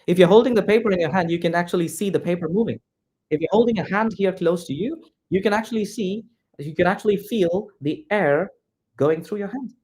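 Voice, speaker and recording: A man's voice: high-pitched, a little fast, darkish tone, slightly rough. A man from India whose first language is Malayalam, teaching English pronunciation in a direct, repetitive classroom manner. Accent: Indian, neutralized English. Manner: direct, repetitive, addresses students as "guys". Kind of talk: classroom instruction to students.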